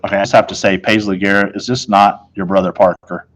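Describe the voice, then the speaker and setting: A man's voice: on the low side, level tone, quick pace, slight background noise. A man reads a children's novel aloud as first-person storytelling, in the role of a teacher.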